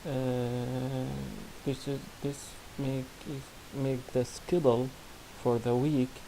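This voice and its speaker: Man, Syrian Arabic accent, non-native English, slow halting delivery, mellow tone, faint hiss. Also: mid-range voice, flat delivery, low-quality recording. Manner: halting, many restarts and fillers, non-native grammar. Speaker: student presenting a project. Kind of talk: screen-recorded software demo.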